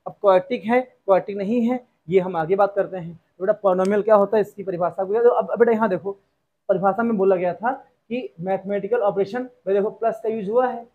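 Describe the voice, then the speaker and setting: A man's voice: sits high, fairly quick, a little mellow, highs cut off. A young man teaching a maths lesson, calling his students "beta" and asking rhetorical questions he answers himself. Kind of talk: classroom lesson explanation.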